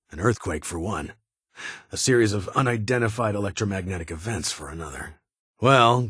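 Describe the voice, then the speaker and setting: Stern vault security boss for a game, really raspy, lowish voice, formal and dry. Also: male voice, no echo. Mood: dry, guarded. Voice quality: husky, raspy. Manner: formal, measured, dry, authoritative. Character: stern, guarded male security chief of a post-apocalyptic vault. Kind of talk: video game dialogue.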